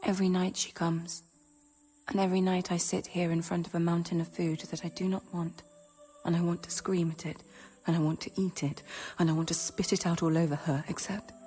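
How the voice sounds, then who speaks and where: An actress in a radio drama playing a tormented, starving young novice confessing her struggle in an intense, breathy, husky monologue. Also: lowish voice, a little mellow, close to an everyday voice. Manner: intense, anguished, intimate. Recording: long reverb with music underneath.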